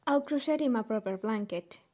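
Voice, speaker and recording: A woman playing a caring, gentle, teasing young woman in an audio drama. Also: a little high, animated, brisk.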